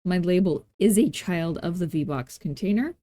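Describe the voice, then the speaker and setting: A woman hosting a software tutorial in a casual, playful way, thinking aloud and tossing in self-deprecating asides as she works. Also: on the low side, expressive, moderate pace. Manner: informal thinking aloud while working. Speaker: tutorial host. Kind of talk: casual screen-recorded software tutorial narration.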